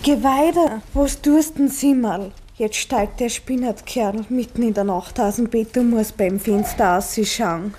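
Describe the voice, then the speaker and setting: An actress playing a sleepy, down-to-earth, nagging village wife with a Bavarian accent, in 1950s radio play style. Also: female voice, fairly high pitch, measured pace, hardly any pauses, warm tone, close to an everyday voice. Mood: grumbling. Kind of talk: 1950s German dialect radio play acting. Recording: 1950s radio recording, dull, faint noise.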